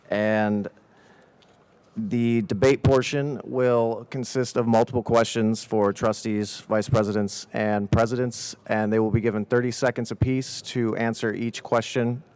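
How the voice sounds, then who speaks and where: A man's voice: on the low side, a little mellow, smooth. A man moderating a student election debate, reading rules and roles aloud with the odd filler. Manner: reads roles out evenly, with some "uh" fillers. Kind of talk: formal announcements.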